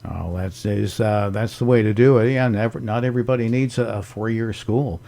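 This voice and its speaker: A man hosting a radio talk show, asking questions in a halting way with frequent fillers. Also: lowish voice, fairly even intonation, creaky, mellow. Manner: halting, many fillers and restarts. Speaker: radio host. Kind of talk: radio interview.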